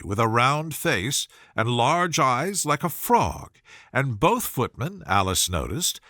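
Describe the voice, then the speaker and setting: A man narrates a storybook in a measured, expressive way. His voice sits a little high and moves with very animated pitch. The tone is bright and forceful, recorded very dry. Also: no room sound. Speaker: audiobook reader.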